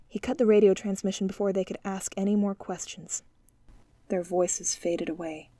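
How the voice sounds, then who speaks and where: A woman narrating an audiobook in an even, measured storytelling manner. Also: medium pitch. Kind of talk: scripted narration.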